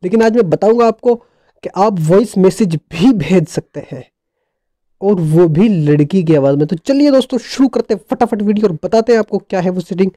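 A man's voice: high-pitched, normal speed, dark tone, no room sound, clean studio sound. A man narrating a casual how-to tech tutorial in a playful, jokey, colloquial way.

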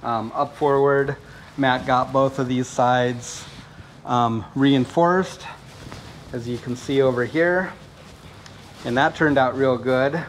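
A man in his thirties talks through his boat refit in a relaxed, plainspoken way, often pausing with ums. His voice bounces around an echoey hull, and faint noise sits underneath. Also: mid-range voice. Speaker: boat owner showing a refit. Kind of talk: casual walkthrough video. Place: inside a fiberglass boat hold and on deck.

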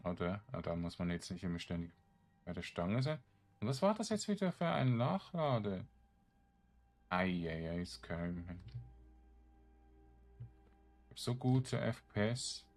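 A man giving casual gameplay commentary, musing aloud to himself in short, halting remarks. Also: low voice, big pitch swings, a little mellow. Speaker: gamer, let's play host.

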